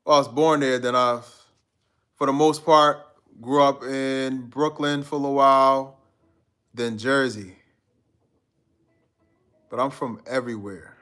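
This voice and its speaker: A man's voice: medium pitch, steady tone, a little slow, long pauses, pushed and bright, smooth, faint music behind. A man from Guyana hosting a live stream, chatting loosely with his viewers in a slangy, rambling way and reading out their comments. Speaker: livestream host.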